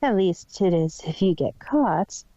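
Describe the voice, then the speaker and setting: Woman playing a smug pony villain, mellow and muffled. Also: fairly low pitch, lively intonation, measured pace, no echo. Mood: coolly menacing. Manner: matter-of-fact, self-satisfied. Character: smug, scheming female pony villain, coolly boastful. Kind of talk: fan fiction audio reading.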